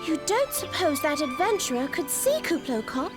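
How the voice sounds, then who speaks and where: An actress playing a blunt, playful young adventurer in a fantasy game, breezy, chatty and teasing. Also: female voice, sits high, big pitch swings, moderate pace. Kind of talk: scripted fantasy game voice acting. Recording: game audio with music underneath.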